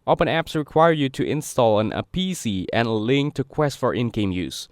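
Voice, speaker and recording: Guy doing a how-to voiceover, mid pitched, measured, super dry close sound. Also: animated, dry recording. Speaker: tutorial narrator. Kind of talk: scripted step-by-step tutorial narration.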